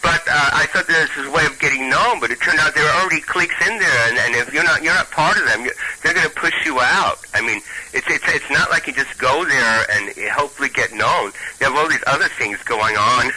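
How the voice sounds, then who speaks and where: A man with a Brooklyn accent, a storyteller and stand-up comic, chatting haltingly with many restarts and reading a comic tale aloud. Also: a little high, pushed and bright, low-quality recording.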